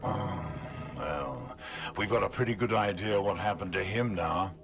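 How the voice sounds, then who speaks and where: A very deep-voiced, gruff space marine engineer speaks bluntly and plainly. It is video game cutscene acting, with music behind the voice. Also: male voice, measured pace, a bit bright. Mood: blunt, wry.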